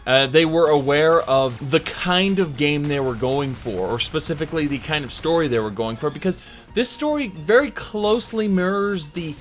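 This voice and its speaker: A man delivering an informal video game review in a conversational, emphatic way, pausing for dramatic effect. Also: a little high, animated. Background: music under the voice.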